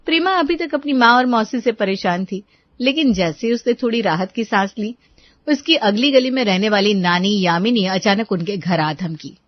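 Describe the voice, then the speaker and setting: A woman reading scripted storytelling narration for an animated moral tale. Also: medium pitch, moderate pace, dry recording, highs cut off.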